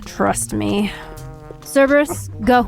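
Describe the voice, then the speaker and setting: A woman's voice: on the high side, slow pace, creaky, soft background music. A woman playing a bossy, wary park guardian in an audio drama, speaking in a curt, exasperated, commanding way. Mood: stern.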